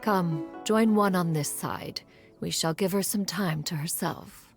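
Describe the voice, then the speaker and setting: A stately ancient immortal woman, talking slowly in archaic, formal phrases. Her voice sits low and carries a gravelly edge. Faint music plays behind her. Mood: calm. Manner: archaic, formal, refers to herself as "one". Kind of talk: video game voice acting.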